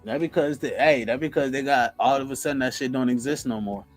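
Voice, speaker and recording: A man's voice: medium pitch, soft background music. A man co-hosting a podcast, joking and reacting in casual banter. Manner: lively, quick to laugh and joke. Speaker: podcast co-host.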